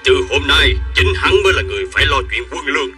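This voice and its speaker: A man voicing a calm, shrewd middle-aged chancellor and military strategist in a dubbed animated historical drama, composed and assured. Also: on the high side, quick pace, creaky, heavily acted. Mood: confident. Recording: music behind, slightly distant.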